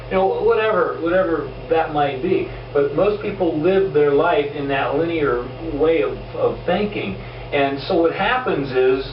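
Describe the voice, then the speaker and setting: Hoarse man giving a motivational talk. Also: fairly high pitch. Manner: earnest, repeats words and restarts often. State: losing his voice, hoarse. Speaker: host of a self-improvement video show. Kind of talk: casual motivational monologue. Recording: roomy, distant, dull and noisy.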